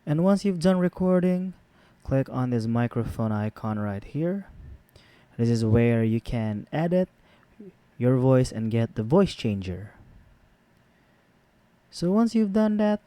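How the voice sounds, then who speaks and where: Mellow-voiced man narrating a tutorial, dry sound. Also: medium pitch, very animated, slow, long pauses, smooth, no room sound. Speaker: tutorial host. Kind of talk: casual step-by-step tutorial narration.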